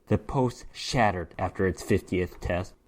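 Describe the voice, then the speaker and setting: A man's voice: low-pitched, measured pace. A man narrating a horror short story as a dramatic reading, with theatrical, emphatic delivery.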